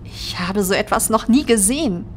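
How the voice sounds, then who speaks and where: A woman's voice: mid-range voice, animated, normal speed, a little hiss or hum, natural voice. A woman playing a tense deputy in a horror audio drama. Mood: uneasy. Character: tense female deputy examining a creature. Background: faint eerie music.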